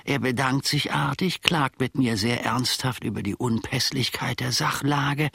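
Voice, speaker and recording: A man's voice: mid-range voice, steady tone, unhurried, creaky, a bit bright, husky, dry recording, full character voice. An actor playing a wry, long-suffering old manservant who confides his tale in old-fashioned words in a radio drama. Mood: wry, grumbling.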